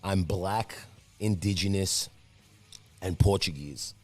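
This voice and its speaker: A man with a very low voice, raised on Italian as his first language, chats easily as a podcast guest. His talk is loose and profane, full of "you know what I'm saying." Faint music plays quietly behind him. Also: slow pace. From New York. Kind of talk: casual chat.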